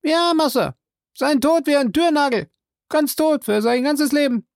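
A reader voicing a frightened male servant who shouts down from a tree in broken, pleading speech. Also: high voice, measured pace, creaky, no room sound, studio quality, pitched above the performer's own voice. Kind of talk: audiobook character voice.

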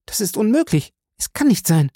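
A man's voice: high voice, big pitch swings, moderate pace, warm tone, no echo, clean studio sound, pitched above the performer's own voice. A man putting on a terrified feverish young woman. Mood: frightened.

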